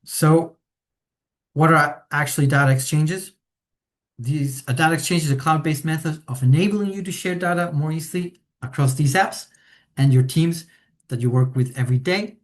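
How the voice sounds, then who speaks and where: Chilean-born man giving a software webinar. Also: a little high, moderate pace. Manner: hesitant, many fillers, tag questions. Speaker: implementation consultant presenting a webinar. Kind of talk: presentation walkthrough.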